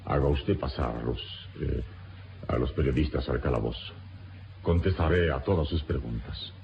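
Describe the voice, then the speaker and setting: An actor playing a noble, serene wronged hero in an old-time radio serial, speaking with solemn, formal, theatrical dignity. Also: male voice, extremely low pitch, moderate pace, vocal fry. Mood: solemn, resolute. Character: noble, serene male hero, honorable wrongly jailed adventurer. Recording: muffled lo-fi radio recording with noise.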